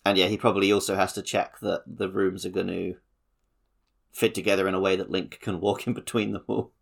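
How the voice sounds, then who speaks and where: A man's voice: sits low, little pitch movement, a little fast, creaky. A man co-hosting a gaming podcast, talking casually about a video game. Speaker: podcast co-host.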